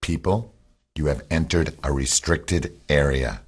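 A stern captain played by a man commands a covert team in a radio drama. His voice is extremely deep and rough-edged. He speaks in terse, firm orders. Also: a little slow, exaggerated voice. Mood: firm, commanding.

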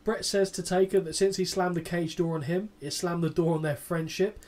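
A man in his thirties co-hosts a casual podcast, reading out a match recap and breaking off for sarcastic asides. His voice sits a little high for a man. He swears freely and keeps a deadpan tone. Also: fairly quick. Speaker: podcast co-host.

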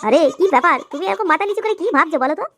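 A woman's voice: extremely high pitch, animated, rapid-fire, pushed and bright, heavily acted. A woman voicing a nosy, eager older village man coaxing his friends in a comedy cartoon, music behind. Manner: coaxing, repetitive. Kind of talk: comic cartoon dialogue. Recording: background music throughout.

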